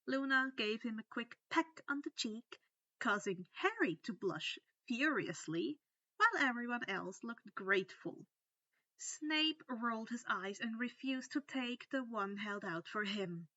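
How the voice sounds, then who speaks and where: A woman narrates a scripted story at an unhurried pace. Her voice is fairly high and bright, on a dry but slightly dull, lo-fi recording. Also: expressive, dry recording. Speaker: narrator of fan fiction.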